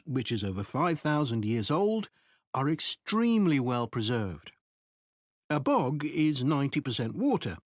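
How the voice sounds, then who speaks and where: A man giving a scripted academic presentation in a measured, explanatory way. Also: on the high side, dry recording, studio quality. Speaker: presenter in a recorded exam listening test.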